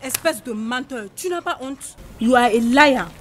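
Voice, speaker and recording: A woman acting in a comedy sketch, loud and scolding. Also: sits high, expressive, measured pace. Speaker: comic actress. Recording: noticeable background hiss.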